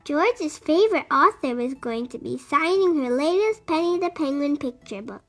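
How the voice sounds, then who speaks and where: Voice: sits high, expressive, moderate pace, a bit bright, faint music behind. A storybook reader narrating a picture book expressively for young children.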